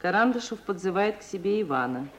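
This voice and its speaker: A woman's voice: medium pitch, animated, a little fast. A woman reading scripted narration of the action in a 1950s radio play. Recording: old radio recording, faint hiss.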